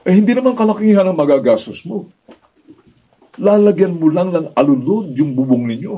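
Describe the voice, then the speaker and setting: A man's voice: high-pitched, expressive, moderate pace, mellow. An older man with a Filipino accent playing an aggrieved, stubborn father in a radio drama. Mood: reproachful, indignant. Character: aggrieved, stubborn, vengeful middle-aged father and neighbor. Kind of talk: Tagalog radio drama acting. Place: radio drama recording studio, distant camera. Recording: dull audio with clear background noise.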